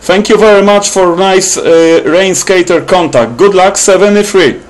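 A man's voice: high-pitched, normal speed. A man working as an amateur radio operator, making clipped, repetitive contact calls with phonetic call signs. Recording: noisy room with radio static.

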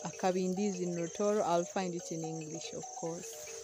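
A woman's voice: lowish voice, steady tone, normal speed, over loud music. Young woman vlogging outdoors. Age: young adult. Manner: chatty, explaining plants to viewers. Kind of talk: casual vlog walkthrough. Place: outdoors in a rural field. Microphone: phone camera, often held at arm's length.

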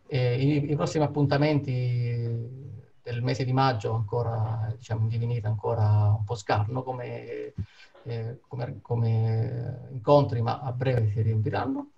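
A man's voice: medium pitch, fairly even intonation, a little slow, gravelly. A man from Italy hosting an online meetup with an informal introduction over a video call. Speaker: meetup host and moderator. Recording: dull video-call audio.

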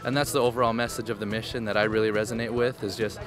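A man's voice: lowish voice, level tone, rapid-fire. A man in his twenties with a Canadian accent giving a testimonial into a handheld microphone. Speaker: interviewee, volunteer. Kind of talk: on-camera testimonial. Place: large busy tent camp. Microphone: handheld reporter microphone. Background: clear music.

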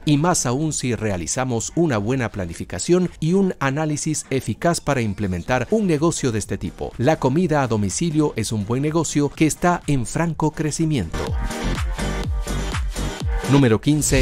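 Lively male voice-over. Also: medium pitch. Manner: upbeat, lifts his voice on each numbered heading. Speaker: narrator of a business ideas video. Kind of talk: scripted voice-over narration. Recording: voice-over with faint background music.

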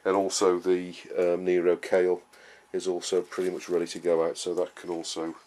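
A man giving a casual, explanatory gardening talk in a halting, unscripted way, as a vlogger would. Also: sits low, monotone, darkish tone. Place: outdoor vegetable garden and greenhouse. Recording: clearly noisy outdoor ambience, uneven distance.

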